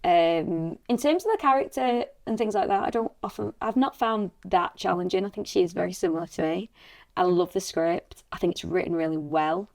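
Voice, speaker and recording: A woman with a slightly husky voice, talking casually and reflectively as a voice actress on a podcast. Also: lowish voice, normal speed, darkish tone.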